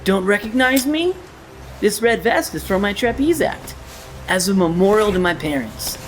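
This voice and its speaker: A woman's voice: mid-range voice, animated, normal speed, faint music behind, close to an everyday voice. A woman voicing an eager, cocky boy sidekick in an audio drama, brash and enthusiastic.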